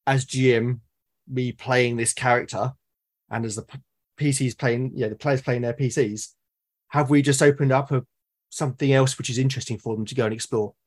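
A British man talks casually and reflectively at an ordinary male pitch. His voice is a little husky. He works through his points haltingly, rewording as he goes. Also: fairly even intonation. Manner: halting, thoughtful, self-correcting explanations. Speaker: podcast guest or co-host, tabletop game master. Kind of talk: casual reflective conversation about roleplaying games.

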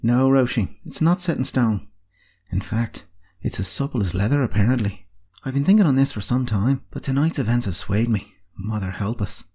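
A man's voice: mid-range voice, brisk, mellow, husky, close to an everyday voice. A man voicing an old female healer, a wry and stern but tender mistress with a dry, teasing, commanding way of talking. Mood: thoughtful. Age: older.

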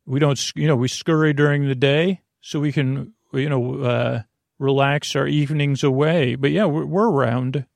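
A man voicing a friendly, easygoing squirrel in a podcast monologue, with a creaky voice and halting delivery. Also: mid-range voice, fairly even intonation, dry recording, clean studio sound, lightly acted. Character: friendly squirrel contentedly enjoying its evenings.

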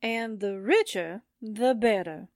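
A woman's voice: mid-range voice, slow pace, darkish tone, dry recording, studio quality. A woman acting a sardonic, wisecracking sidekick to a reporter in a 1940s-style radio drama. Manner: wry, wisecracking.

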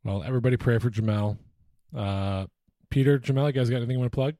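A man's voice: medium pitch, fast talker, warm tone, dry recording, studio quality. A man co-hosting a podcast in casual chat, joking and swearing freely. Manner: profane, joking. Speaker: podcast co-host.

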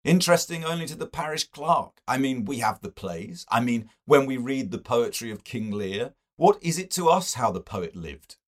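A man's voice: mid-range voice, big pitch swings, moderate pace, hardly any pauses, lightly acted. A man voicing a lofty, impatient man of letters in a novel, dismissive and exclamatory.

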